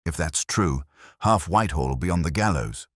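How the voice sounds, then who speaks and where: A man reading aloud plays a gruff police inspector in a detective story, with a very deep voice. He is blunt and sceptical, grudging in his replies, with lively movement in pitch. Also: normal speed, no room sound, studio quality.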